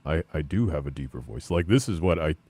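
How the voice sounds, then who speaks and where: Deep-voiced easygoing man. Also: lively intonation, fairly quick, dark tone, dry recording. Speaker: podcast co-host. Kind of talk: casual podcast chat.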